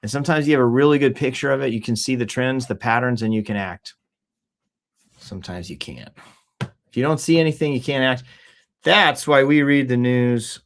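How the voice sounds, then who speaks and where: A man's voice: mid-range voice, moderate pace. Casual man chatting off the cuff. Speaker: host of a livestream news show. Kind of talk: casual rambling livestream chat. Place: office.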